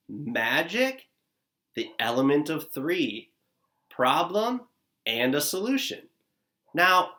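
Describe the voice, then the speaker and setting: A man's voice: fairly high pitch, fairly bright tone. A man in his thirties, a teacher recording an upbeat lesson for young children, talking straight to them from his living room. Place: living room at home, indoors. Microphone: camera at desk distance, seated facing it.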